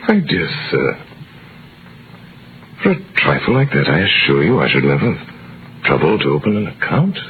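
An actor playing a wealthy, imperious count, suave and condescending, in the style of 1930s radio drama. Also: male voice, extremely low pitch, wildly swooping pitch, normal speed, long pauses, a little hiss or hum, heavily acted. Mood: mocking. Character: wealthy, imperious male count, coolly mocking. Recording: muffled vintage radio recording.

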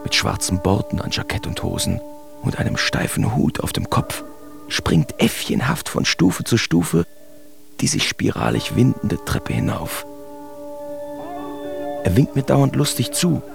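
An actor playing a German artist who tells of his absurd nightmare in a wry, uneasy way, as theatrical first-person radio drama narration with a gravelly voice. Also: lowish voice, wildly swooping pitch, a little slow, long pauses, heavily acted. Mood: uneasy, puzzled. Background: music behind the voice.

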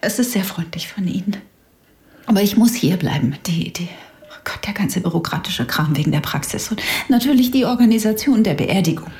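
An actress in a German radio drama playing a bereaved, bitter widow, speaking haltingly with breathy sighs. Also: female voice, mid-range voice, animated, a little mellow, natural voice. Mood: weary, grieving.

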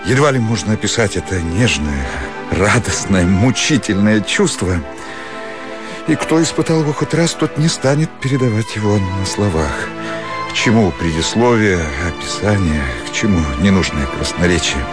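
An actor plays an ardent gentleman in love in a radio play. His low voice swings widely as he pleads in impassioned, declamatory phrases, with music playing behind him. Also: expressive, moderate pace, natural voice. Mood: ardent, pleading. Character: ardent lovestruck gentleman, earnest and wounded. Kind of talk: theatrical radio drama acting.

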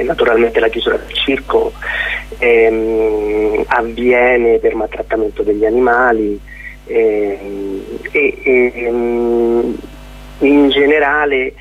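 Slow, hesitant man on a phone line. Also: on the low side, gravelly, husky. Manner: hesitant, halting, searching for words. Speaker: interview guest, playwright. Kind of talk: informal radio phone interview. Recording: thin, narrow telephone line on radio.

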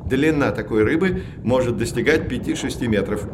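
An actor playing a learned professor who explains things articulately to children in an educational audio drama. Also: male voice, mid-range voice, lively intonation, moderate pace, noisy background, natural voice. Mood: explanatory. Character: learned male professor guiding children on sea voyage.

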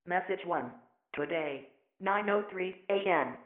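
A woman's voice: fairly low pitch, takes it slowly. A woman voicing an impersonal answering-machine announcer, with a noisy, tinny, echoey playback sound. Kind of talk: automated message announcement.

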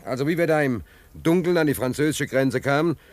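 An actor in a German radio play playing a stranded, penniless American sailor, plain-spoken, hesitant and stubborn. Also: male voice, mid-range voice, expressive, a little fast, no echo, lightly acted. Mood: animated storytelling.

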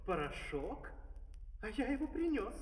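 An actor playing a flustered doctor in a comic fairy-tale opera, stuttering and indignant. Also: male voice, high-pitched, very animated, a little slow. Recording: echoing hall, distant, old vinyl.

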